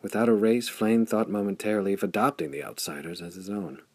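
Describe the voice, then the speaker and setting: A man's voice: lowish voice, brisk, darkish tone, husky, dry recording. A man reading a pulp adventure story as scripted audiobook narration.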